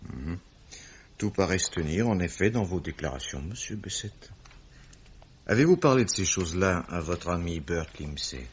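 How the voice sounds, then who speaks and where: An actor playing a shrewd, authoritative police commissioner, speaking formally and courteously in a 1950s-style French radio mystery. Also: male voice, sits low, lively intonation, a little hiss or hum. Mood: dry, reserved. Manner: formal, measured, theatrical. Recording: dull vintage radio recording.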